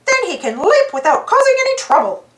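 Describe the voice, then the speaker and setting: A woman's voice: very high voice, very animated, brisk, a bit bright, reverberant, voice pushed up, exaggerated voice. A woman voicing a cheerful, eager little male cartoon mouse from a children's storybook. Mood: cheerful, helpful.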